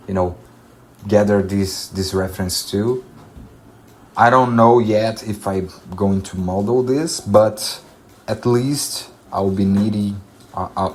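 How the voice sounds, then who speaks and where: Brazilian Portuguese-accented man, low and unhurried, hesitant second-language English, casual tutorial narration. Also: darkish tone, slight background noise. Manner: halting, many fillers and restarts. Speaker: 3D artist.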